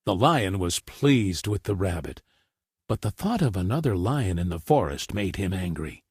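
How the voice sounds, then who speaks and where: A man's voice: deep voice, sing-song, moderate pace, slightly rough, no room sound, clean studio sound. A man narrating scripted fairy tales for children as a storyteller.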